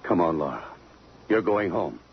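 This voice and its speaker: An actor playing a tough, terse police lieutenant who is quietly smitten, blunt and clipped, in a 1950s radio drama. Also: male voice, low-pitched, expressive, moderate pace, slight background noise. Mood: softening. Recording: dull lo-fi 1950s radio recording.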